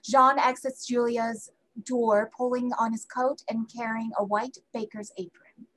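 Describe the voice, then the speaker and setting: A woman's voice: fairly high pitch, level tone, unhurried, fairly bright tone, low-quality recording. A woman reading stage directions aloud as scripted narration at a play reading. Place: indoors at home on a video call.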